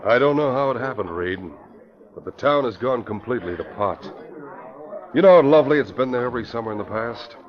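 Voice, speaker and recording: An actor playing an earnest, indignant police commissioner, in an old radio drama. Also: male voice, mid-range voice, animated, a little fast, natural voice. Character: middle-aged man, indignant police commissioner. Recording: dull lo-fi old radio recording.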